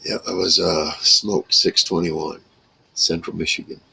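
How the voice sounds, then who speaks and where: Very deep gravelly older man. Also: little pitch movement, unhurried, sharp tone, a little hiss or hum, low-quality recording. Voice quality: gravelly, rough. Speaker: vlogger reminiscing about a radio hobby. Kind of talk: casual rambling monologue to camera. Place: small wood-paneled room indoors.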